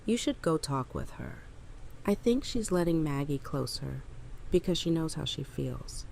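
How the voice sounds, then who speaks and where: A woman voice-acting a caring, plain-spoken survivor in an audio drama, with a gravelly voice. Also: deep voice, animated, unhurried, darkish tone, exaggerated voice, low-quality recording. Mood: gently advising. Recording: noticeable background noise and ambience.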